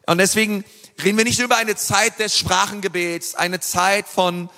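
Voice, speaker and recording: A man delivers a sermon with earnest emphasis, often pausing on fillers and restarting phrases. His voice sits high and rings bright and forceful. The sound carries a long reverb from a large church hall. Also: fairly even intonation. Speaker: preacher.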